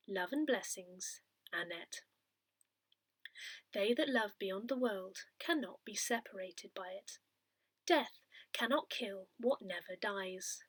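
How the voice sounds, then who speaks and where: A woman reading a newsletter aloud in a measured, careful way with expressive emphasis. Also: medium pitch, dry recording. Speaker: volunteer reader of an audio parish newsletter. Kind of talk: read-aloud newsletter narration.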